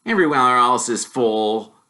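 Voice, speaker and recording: A man's voice: mid-range voice, expressive, measured pace, pushed and bright, voice pushed up. A man voicing a shy, curious young boy in a storybook, hesitant yet eager and blurting.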